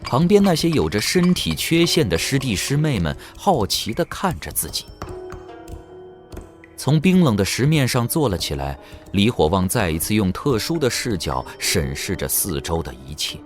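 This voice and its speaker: Male narrator, lowish pitch, very expressive, dramatic audio drama storytelling, music behind. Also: normal speed. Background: background music.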